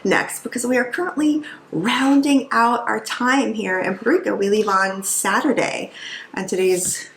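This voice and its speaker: A woman co-hosting a travel vlog in casual chat, a little nasal from a head cold, talking in a loose, animated way. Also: a little high, moderate pace, reverberant, noisy background. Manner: chatty, animated, loose and conversational. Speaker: vlog co-host.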